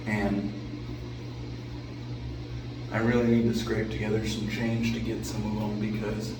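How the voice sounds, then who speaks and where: A man hosting a shaving vlog while on vacation, his voice raspy and hoarse from drinking and talking over music, rambling casually and clearing his throat often. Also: medium pitch. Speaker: shaving channel host. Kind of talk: casual shave vlog talk. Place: vacation bathroom with air conditioning. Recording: echoey room, distant mic, noticeable background hum.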